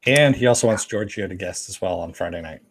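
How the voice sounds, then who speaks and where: A man's voice: sits low, quick pace, breathy edge. A man co-hosting a podcast, chatting casually in brief, matter-of-fact remarks. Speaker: podcast co-host. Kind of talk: casual chat.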